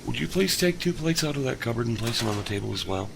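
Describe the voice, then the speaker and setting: An actor playing a kind, lonely older father who lost his son, speaking gently with halting pauses. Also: medium pitch, lively intonation, vocal fry, noisy background. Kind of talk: audio drama acting.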